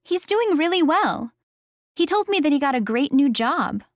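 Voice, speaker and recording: A woman's voice: high-pitched, big pitch swings, normal speed, dry recording, studio quality. A woman acting a friendly acquaintance in a scripted textbook dialogue.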